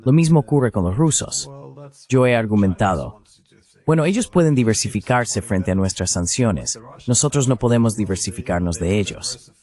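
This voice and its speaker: A man voicing a translated interview as the interviewer, speaking in a measured, analytical manner. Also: on the low side, lively intonation, a little mellow.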